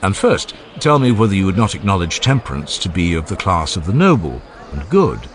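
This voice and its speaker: A man playing an older philosopher who questions patiently and courteously in formal, measured phrases. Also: low voice, very animated. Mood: reasoning. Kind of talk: dramatized philosophical dialogue.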